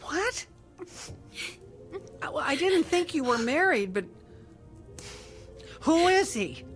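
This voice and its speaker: Casting: flustered middle-aged mom, brusque yet caring, lively intonation, naturalistic drama with score behind. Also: female voice, high-pitched, takes it slowly, soft background music, full character voice. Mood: alarmed. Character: curt middle-aged mother living alone, flustered but kindly. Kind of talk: naturalistic screen drama acting.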